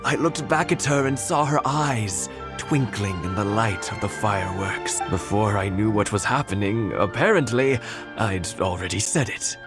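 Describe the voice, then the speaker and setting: Elderly husband played by a man, gentle and reflective video game storyteller, music clearly behind. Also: lowish voice, lightly acted. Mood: fond, wistful. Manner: slow, halting, reflective storytelling. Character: gentle elderly husband, reminiscing fondly, good-humored. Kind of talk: English video game voice acting. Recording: game audio with background music.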